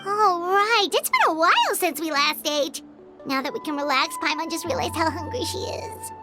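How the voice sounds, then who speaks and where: A tiny female fairy companion in a game, peeping and squeaking well above any normal voice and swinging wildly up and down. She refers to herself by name like a child, over clear game music. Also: very animated, moderate pace. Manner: talks about herself in third person. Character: tiny chirpy female fairy guide, greedy for food. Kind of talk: video game voice acting.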